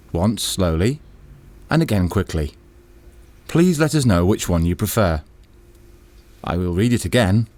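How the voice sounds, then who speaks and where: An actor playing a formal programme director of 1920s radio, reading announcements in a measured, stiff monotone. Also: male voice, deep voice.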